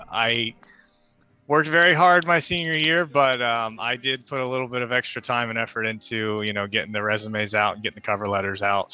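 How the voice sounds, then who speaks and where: A man introducing his engineering career on a livestream panel, steady with frequent uhs and ums. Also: medium pitch, highs cut off. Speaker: mentor panelist. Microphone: video call.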